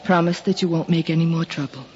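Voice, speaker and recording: An actress in a 1950s radio drama playing a tender, anxious, hopeful aunt with an earnest, theatrical delivery. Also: female voice, deep voice, quick pace, darkish tone, a little hiss or hum, close to an everyday voice. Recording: muffled old radio recording.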